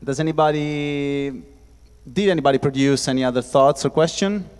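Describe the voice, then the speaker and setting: A man from Italy hosting a casual festival discussion, playful and quick to laugh, talking into a handheld mic with many fillers. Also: on the high side, darkish tone, smooth. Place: festival hall with audience. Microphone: handheld microphone. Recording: reverberant hall.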